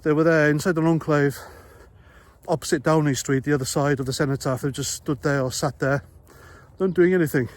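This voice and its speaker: A man's voice: a little high, steady tone, brisk. A man from Wales with a Welsh accent, an ex-army vlogger giving a blunt, conversational opinion monologue full of rhetorical questions.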